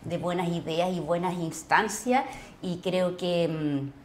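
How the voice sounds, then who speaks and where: A woman's voice: on the low side, steady tone, takes it slowly, darkish tone. A woman with a Chilean accent in a casual interview, reflective and searching for words as she piles up lists. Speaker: actress, podcast guest. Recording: roomy echo, background noise.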